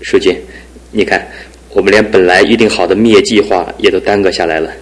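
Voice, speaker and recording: A man's voice: sits low, steady tone, brisk, lightly acted, rough recording. Man playing a brooding young groom. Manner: brooding, self-pitying. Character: young groom, bitter about his lame leg. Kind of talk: 1970s radio drama acting.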